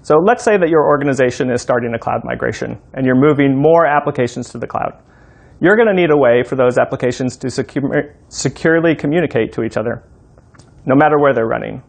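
A man in his thirties giving an instructional, step-by-step walkthrough as a software demo presenter. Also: medium pitch, vocal fry. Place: room in a home.

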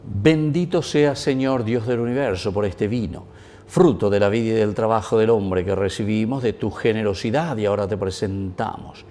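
Middle-aged male priest, solemn measured liturgical reading, lapel mic in a small chapel. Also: on the low side, dark tone. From Paraguay. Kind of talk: liturgical prayer at Mass.

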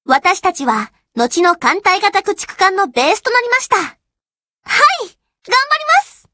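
A woman's voice: very high-pitched, very animated, unhurried, pushed and bright, no room sound, studio quality, heavily acted. A woman voicing an earnest, dutiful teenage warship girl in an anime game, youthful and polite. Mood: formal, proud. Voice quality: youthful, girlish. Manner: polite, diligent. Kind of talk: anime game character voice lines.

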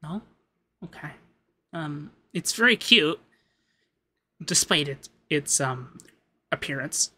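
A man voicing a haughty young femboy demon lord, pompous but easily flustered and secretly soft, in an ASMR roleplay. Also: a little high, animated, takes it slowly, long pauses, husky, heavily acted. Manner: pompous commands that slip into flustered cooing.

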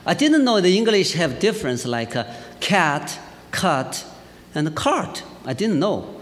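A middle-aged man from China with a Chinese accent, preaching a sermon and telling a personal story in a lively way, with frequent fillers. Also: high-pitched, measured pace. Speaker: pastor. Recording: church hall reverb, faint hiss.

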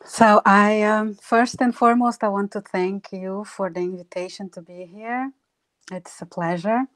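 A woman speaks slowly and deliberately on a panel. Her voice is dry and close, with no room sound. She talks into an earphone mic on a video call from her home. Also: mid-range voice, steady tone, no room sound. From Brazil. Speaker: panelist, artist. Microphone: wired earphone mic on video call.

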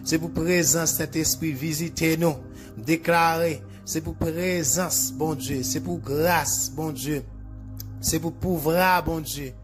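A man with a Haitian accent delivers a preacher's prayer in short, declarative phrases. His voice sits a little higher than most men's. He repeats his lines like an incantation, with music clearly playing behind him. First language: Haitian Creole.

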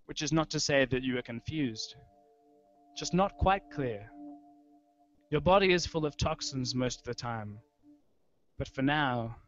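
A young man giving a measured, deliberate literary reading of experimental fiction into a close microphone. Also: mid-range voice, expressive, soft background music. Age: young adult. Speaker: writer reading his own audio fiction. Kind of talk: literary reading over a soundscape. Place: indoor room with wooden ceiling. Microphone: handheld-style microphone close to mouth, video call. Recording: dull lo-fi online stream. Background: prominent musical soundscape.

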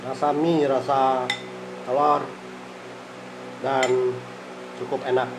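Middle-aged man, food vlogger, even monotone, unhurried, recorded on a tabletop phone with dish clatter. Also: medium pitch. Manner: slow, murmuring, eats between phrases, frequent "eh" fillers. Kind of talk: casual eating vlog. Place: small rented room indoors. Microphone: phone camera on the table. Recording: phone recording with room noise and cutlery clatter. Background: clinking cutlery and dishes.

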